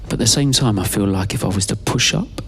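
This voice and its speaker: A man giving a slow, scripted first-person spoken-word narration in a hushed, deliberate way, pausing mid-phrase. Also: fairly low pitch, background noise. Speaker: performer of own monologue. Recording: loud music over the voice. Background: loud music.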